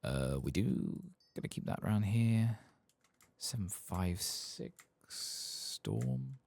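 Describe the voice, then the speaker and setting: A man giving soft-spoken ASMR commentary over a game playthrough in an unhurried murmur. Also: low-pitched, lively intonation, no echo. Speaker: ASMR gaming streamer.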